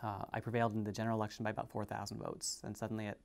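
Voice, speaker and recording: A man who is a former state representative, giving a candid, animated personal account in a documentary interview. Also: on the low side, rapid-fire, creaky.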